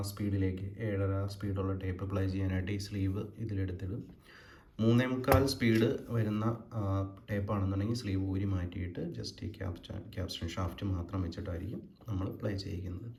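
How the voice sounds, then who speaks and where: Kerala guy doing a hands-on demo, lowish voice, kinda halting, roomy echo. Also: darkish tone. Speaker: presenter of a gadget demonstration. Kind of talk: unscripted hands-on demonstration. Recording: echoey room with background hiss.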